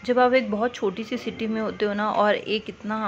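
A woman's voice: mid-range voice, fairly even intonation, moderate pace, lo-fi sound, soft background music. A woman in her thirties giving a chatty, enthusiastic vlog voice-over, mixing in English phrases. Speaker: vlogger. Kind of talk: casual vlog narration, Hindi mixed with English.

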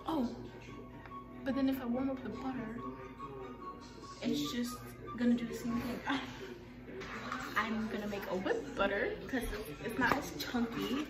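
A young woman talking casually to the camera while baking, unsure of herself and thinking out loud, in a home kitchen. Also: fairly high pitch, measured pace, long pauses. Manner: unsure, self-mocking, thinking aloud. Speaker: vlogger. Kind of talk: casual baking vlog narration. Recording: roomy kitchen echo with loud music. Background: pop music playing.

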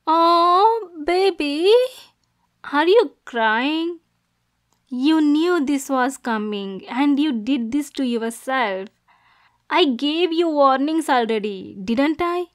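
A woman's voice: sits high, heavily acted. A woman voicing a possessive, jealous yandere girlfriend in an ASMR roleplay, menacing and cooing by turns. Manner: swings between soft cooing and angry, degrading outbursts. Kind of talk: ASMR roleplay, dramatic monologue.

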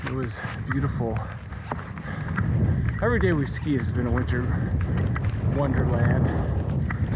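A middle-aged man vlogging as he climbs a snowy mountain, with dry, self-mocking humor and a slightly breathless voice, filmed on a handheld action camera. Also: on the high side. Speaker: ski vlogger. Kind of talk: vlog narration while climbing. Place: windy snowy mountainside outdoors. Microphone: action camera held at arm's length. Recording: loud wind noise on an outdoor camera mic.

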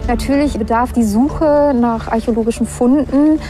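A young female archaeologist speaks in an interview. Her voice is fairly high and very mellow, and she explains as she goes. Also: moderate pace, soft background music. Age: young adult. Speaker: district archaeologist being interviewed.

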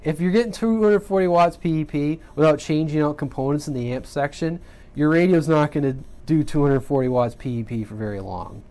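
A man's voice: fairly high pitch, brisk, mellow. Rambling man explaining radio gear. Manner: rambling, self-correcting, conversational with frequent "right?". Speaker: CB radio hobbyist demonstrating equipment. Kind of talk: casual off-the-cuff tutorial. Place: home radio workbench indoors.

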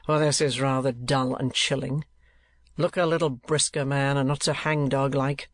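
Cold storybook moneylender, read by a man: mid-pitched, sardonic, deliberate, close dry recording. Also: level tone, no echo, close to an everyday voice. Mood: dry, subdued. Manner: dry, contemptuous, deliberate mockery.

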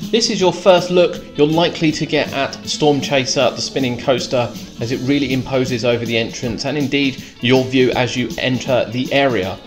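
A British man giving a measured, descriptive voice-over tour as a theme park vlogger. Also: medium pitch. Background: clear background music.

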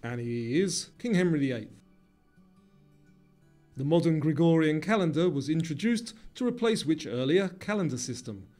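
A man reading scripted quiz questions and answers in a measured, formal way. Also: a little high, expressive, darkish tone. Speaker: quiz narrator. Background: background music.

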